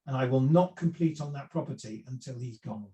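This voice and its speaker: A man's voice: medium pitch, brisk, warm tone, muffled recording. A middle-aged man giving a seminar talk on property investment, conversational, with frequent fillers and restarts. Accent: none Welsh. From lived in South Wales for years.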